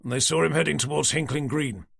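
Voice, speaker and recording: A man's voice: a little high, brisk, vocal fry, fairly bright tone, husky, no room sound, studio quality, heavily acted. A man playing a polite, impatient detective.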